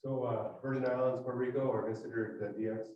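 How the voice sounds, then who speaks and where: A man's voice: medium pitch, fairly even intonation, fast talker. A man asking questions from the audience, picked up at a distance in a meeting room. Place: meeting room, away from the microphone. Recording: distant, roomy, muffled, noisy pickup.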